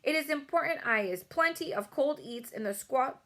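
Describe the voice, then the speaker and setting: A woman's voice: sits high, lively intonation, moderate pace, bright, forceful tone, pitched above the performer's own voice, full character voice. A woman voicing a huge, greedy, man-eating giant, bullying and insulting, with mangled comic grammar, for a storybook. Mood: gloating.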